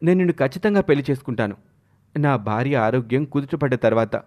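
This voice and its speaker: A man's voice: medium pitch, animated, fast, a little mellow, natural voice. A man voicing an anxious, devoted husband in a storybook tale, pleading earnestly.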